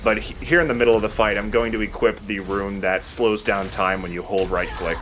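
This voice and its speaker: A man's voice: lowish voice, fairly even intonation, a little fast, a bit bright, slight background noise. A man giving casual, explanatory let's play commentary as he plays, with some mild swearing. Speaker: let's play host and player. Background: video game music.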